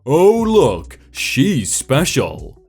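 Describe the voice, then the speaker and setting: A man's voice: medium pitch, huge pitch swings, drawn-out words, darkish tone, husky, soft background music, heavily acted. A man voicing a rude male zoo visitor who mocks a worker sarcastically. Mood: sneering. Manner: sneering, sarcastic.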